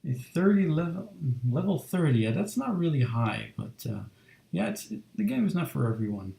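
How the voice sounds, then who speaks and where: Man, 30s, relaxed streamer, gravelly and warm, roomy home mic. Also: a little high. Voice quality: soft, relaxed and unhurried. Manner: chatty, lots of fillers, reads chat. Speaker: streamer drawing fan art. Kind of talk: casual live-stream chat while drawing. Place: home studio room.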